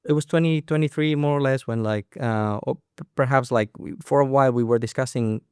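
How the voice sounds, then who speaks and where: A man's voice: mid-range voice, fairly quick, a little mellow, clean tone, no echo, studio quality. A man co-hosting a podcast as the company's CEO, chatting casually with many fillers and restarts. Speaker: co-founder and CEO, podcast host. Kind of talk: casual conversational podcast chat.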